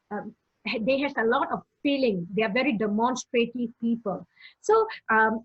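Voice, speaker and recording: A woman's voice: on the high side, slightly rough, low-quality recording. A woman evangelist from Singapore giving earnest teaching and testimony, repeating phrases for emphasis.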